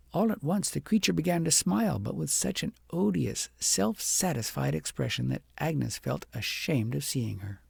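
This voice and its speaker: Male audiobook storyteller, very gravelly, animated pitch, dramatic fairytale delivery. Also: no room sound, studio quality. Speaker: audiobook narrator. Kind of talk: expressive scripted fairytale narration.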